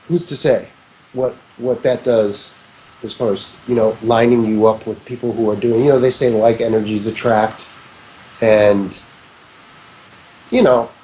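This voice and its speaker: A man in his early fifties speaks with a mellow, warm voice, drifting through long reflective thoughts and restarting his sentences as he talks. He is a guest in a relaxed interview, and a faint hiss of noise sits behind him. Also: medium pitch, poor audio. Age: 50s. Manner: rambling, frequent restarts and "you know"s, reflective. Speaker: interview guest, music producer. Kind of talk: casual conversational interview.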